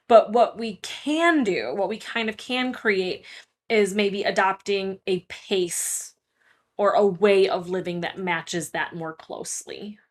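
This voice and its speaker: A woman's voice: mid-range voice, a bit bright. Woman, mid-range voice, podcast co-host, casual reflective chat, thoughtful, encouraging, questioning.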